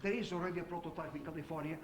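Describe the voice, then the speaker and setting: A middle-aged man talks through his slides at a conference. He speaks haltingly, full of "uh"s and restarts, and now and then quizzes the audience. A faint hum of room ambience sits under his voice. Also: on the high side, steady tone. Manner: halting, many fillers and restarts, asks the audience questions. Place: conference hall.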